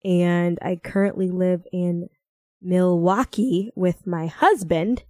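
A woman's voice: on the low side, steady tone, slow pace, dark tone, no room sound, studio quality. A young American woman from Minnesota in casual chat, rambling playfully with a giggly manner. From Minnesota, United States. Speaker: podcast co-host.

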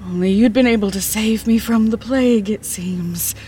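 A woman playing a weary, guarded young queen in a fantasy horror audio drama, measured, deferential and solemn. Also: mid-range voice, dark tone, a little hiss or hum, close to an everyday voice.

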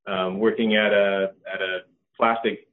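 A middle-aged man, a company co-founder, talking casually in an interview with a relaxed manner and many ums and restarts. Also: on the low side, measured pace. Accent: Californian. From Norway. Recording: tinny phone-like line.

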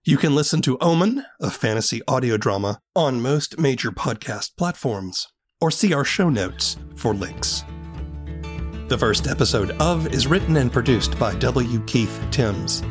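A man hosting a podcast, speaking in a scripted, measured announcer style into a close mic. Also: mid-range voice, expressive, husky, soft background music. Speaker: podcast host. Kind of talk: scripted podcast narration and interviewing.

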